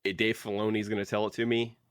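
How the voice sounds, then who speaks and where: A man co-hosting a podcast, chatting casually in an informal, halting, wry manner. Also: fairly low pitch, level tone, no echo, clean studio sound. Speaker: podcast co-host. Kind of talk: casual panel chat about a TV trailer.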